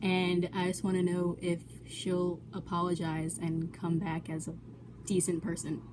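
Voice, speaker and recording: Low-pitched young woman chatting casually. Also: completely flat delivery, measured pace, noisy background. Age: young adult. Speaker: client asking questions, vlogger. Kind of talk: casual chat.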